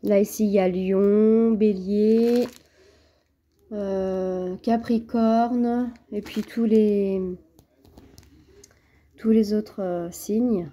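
A woman's voice: mid-range voice, steady tone, drawn-out words, pauses often, mellow. A woman with a cold, chatting casually and hesitantly to camera at home while unboxing a craft kit, with many fillers and restarts. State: has a cold. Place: at home, at a table.

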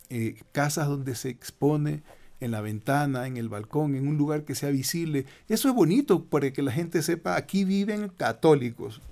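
An older man with a gravelly, mellow voice talks as a priest on a studio radio show. He explains patiently and turns to the listeners with rhetorical asides. The sound is close and clean from a studio mic. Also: on the high side. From Ecuador. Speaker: Jesuit priest guest. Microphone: studio microphone with headphones.

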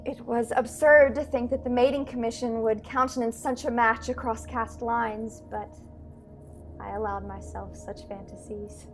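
Composed, devoted young female starship attendant played by a woman, slightly high voice, measured formal delivery, music behind, sci-fi radio play. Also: steady tone, lightly acted. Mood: wistful. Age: young adult. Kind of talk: radio play acting and narration. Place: bedroom, over a video call.

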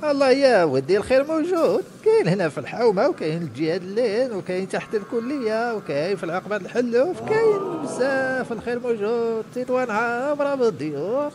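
A man's voice: very high-pitched, sing-song, fast, hardly any pauses, noisy background. A man voicing a talkative, persuasive grey-haired house broker in a comedy cartoon, with a northern Moroccan accent. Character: chatty grey-haired male neighbourhood house broker, ex-convict.

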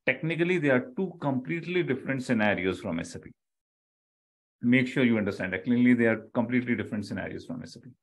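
Man, middle-aged, Indian accent, medium pitch, online instructor, explanatory, repetitive emphasis. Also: animated, fast talker, breathy edge. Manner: explanatory, repeats key phrases, checks with "okay" and "right". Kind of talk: live online lecture.

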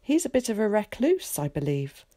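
A bright, eager schoolboy spills out friendly chatter in a raised, lively voice, as a woman reader puts him on. The words bounce up and down in pitch, and the sound is close and dry with no room around it. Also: no echo. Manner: quick, bright, well-spoken chatter.

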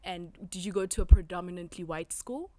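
A woman hosts an interview, asking long questions that loop back and repeat themselves. Her voice is lower than most and a little soft. A faint hiss runs under a small indoor room. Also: brisk. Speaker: interview host. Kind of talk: casual interview. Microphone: handheld mic passed between speakers.

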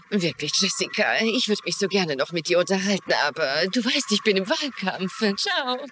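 A woman's voice: medium pitch, lively intonation, normal speed, background noise, lightly acted. An actress playing a chatty, gushing woman realtor on the campaign trail in a dubbed crime series. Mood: evasive, chatty. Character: chatty, pushy female realtor campaigning for votes. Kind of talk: dubbed TV crime drama dialogue.

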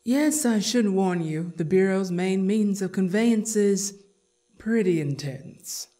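A woman voicing a grave, composed director of a secret organization, measured and formal, in a comic dub. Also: medium pitch, expressive, warm tone. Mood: stern warning. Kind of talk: scripted character acting.

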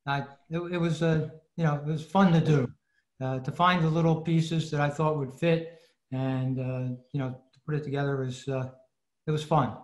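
Man, higher-than-average voice, halting casual explainer, roomy echo on a video call. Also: steady tone, darkish tone. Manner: halting, pausing mid-sentence. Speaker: club presenter.

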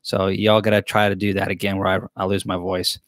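A man's voice: low-pitched, little pitch movement, a little fast. A man in his thirties with a drawl talks into a close desk mic in a small wood-paneled room. He rambles chattily and restarts his sentences often. The sound is dry, with no room echo. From Tennessee, US. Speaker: beekeeping channel host. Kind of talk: live Q&A chat. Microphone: desk mic close to camera.

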